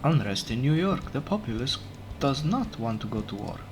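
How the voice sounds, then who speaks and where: A man whose first language is not English, casually commenting on his game as he plays, unhurried and thinking aloud, often correcting himself. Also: medium pitch, big pitch swings, background noise. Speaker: let's play gamer. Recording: game music playing behind. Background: game music.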